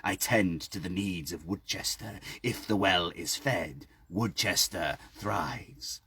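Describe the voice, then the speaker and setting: Man acting out ominous, slow, low-voiced ancient man. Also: bright, forceful tone, slightly rough, no echo, full character voice. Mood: menacing. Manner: slow, low, whispered menace. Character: ancient skeletal old man guarding a well, ominous, demanding. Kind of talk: dramatic storytelling reading.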